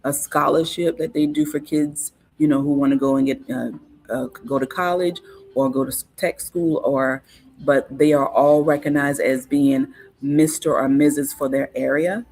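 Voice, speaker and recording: A woman, a guest in a casual interview, explaining things in an unhurried, informative way with frequent fillers and restarts. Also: low-pitched, steady tone, darkish tone. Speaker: guest on cultural topics.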